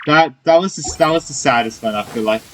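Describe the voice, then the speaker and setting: A young man giving casual, halting commentary on a video game playthrough, often repeating his words. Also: a little high, a bit bright, a little hiss or hum. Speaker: game streamer.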